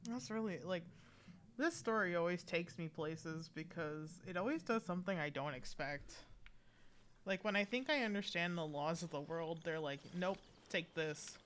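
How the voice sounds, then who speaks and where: A woman's voice: fairly low pitch, moderate pace, a bit bright, slight background noise. A woman streaming a game, giving casual, chatty, playful live commentary. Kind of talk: casual live gameplay commentary, reading dialogue choices aloud.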